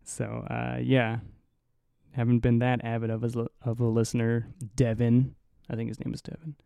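A young man hosting a solo podcast in a low, mumbly voice, rambling and swearing casually, right up on the mic. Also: completely flat delivery, moderate pace, gravelly, warm tone, clear, resonant tone, dry recording. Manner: rambling, profane, self-mocking. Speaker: podcast host. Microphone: close to the mic.

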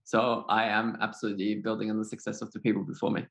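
A man's voice: fairly low pitch, little pitch movement, quick pace, creaky, a bit bright. A man from Austria with a German accent, answering interview questions in an informal, modest, conversational way. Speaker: podcast guest, startup accelerator chief executive.

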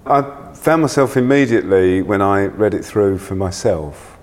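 A man hosting a reflective conversation, speaking haltingly in short phrases with pauses. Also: lowish voice, long reverb tail. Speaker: podcast host. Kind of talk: gentle reflective interview conversation.

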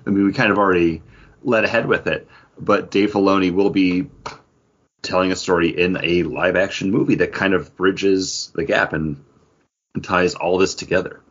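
Male podcast co-host, low and slightly rough voice, casual fan chat, enthusiastic, dulled lo-fi audio. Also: fairly even intonation, moderate pace, fairly bright tone. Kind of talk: casual geek news discussion.

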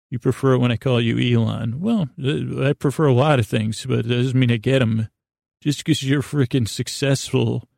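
A man hosting a bedtime podcast in a halting, meandering, whimsical monologue, with a creaky voice and frequent self-interruptions. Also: medium pitch, a little mellow, no room sound, clean studio sound. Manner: silly, absurdly whimsical. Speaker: podcast host. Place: small closet, close and dry.

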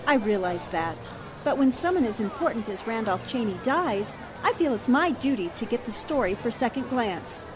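Actress as an earnest, high-voiced lady reporter. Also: lively intonation, normal speed, close to an everyday voice. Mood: earnest, sober. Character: earnest, dutiful female newspaper reporter. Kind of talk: 1940s radio drama acting.

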